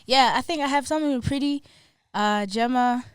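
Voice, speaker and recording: A woman's voice: high-pitched, brisk, smooth. A young woman, chatting casually as a guest on a podcast, easygoing and full of fillers. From South Africa. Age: young adult. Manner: relaxed, trailing, filler-heavy. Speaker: guest musician.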